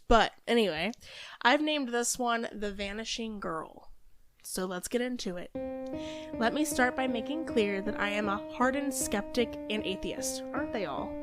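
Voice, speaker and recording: A woman co-hosting a podcast, joking with friends and then reading out a story. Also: medium pitch. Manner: laughing, playful, reads stories aloud. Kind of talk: casual chat and story reading.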